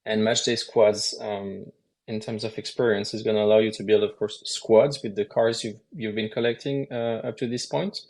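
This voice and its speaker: Male game designer, lowish gravelly voice, methodical explainer who pauses to find words. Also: steady tone, a little mellow. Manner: measured, explanatory, frequent hesitations. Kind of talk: explaining game mechanics in a live Q&A.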